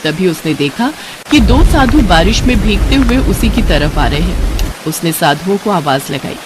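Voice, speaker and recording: A woman narrating a bedtime cartoon story in an expressive, scripted storybook style. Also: fairly low pitch, moderate pace, very noisy.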